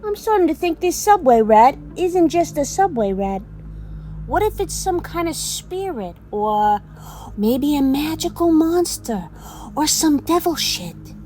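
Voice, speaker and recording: A woman voicing a naive, brash male New York sports fan, musing aloud excitably in an audio play. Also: high voice, a little slow, slight background noise. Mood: suspicious musing. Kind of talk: quoted character in audio drama storytelling.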